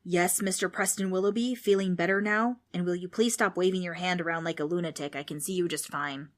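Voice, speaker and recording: A woman's voice: on the low side, steady tone, fairly quick, close to an everyday voice. A cantankerous old ghost, acted out by a woman, scolds and grumbles in old-fashioned insults. He rambles and loses his thread, like an exasperated schoolteacher in a children's storybook. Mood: scolding. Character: cranky old male ghost, scolding and absent-minded. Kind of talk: children's audiobook character voice.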